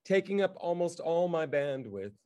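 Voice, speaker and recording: A man chatting casually in a halting, wry way, as if thinking aloud while he talks. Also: fairly high pitch, big pitch swings, darkish tone. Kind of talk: casual chat. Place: remote video call.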